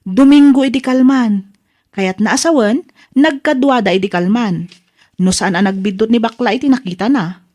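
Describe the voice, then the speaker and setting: A woman's voice: fairly high pitch, moderate pace, a little mellow, close to an everyday voice. A woman playing a frank, concerned young friend and gossipy confidante in a drama. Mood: confiding. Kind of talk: Ilocano radio-drama style acting.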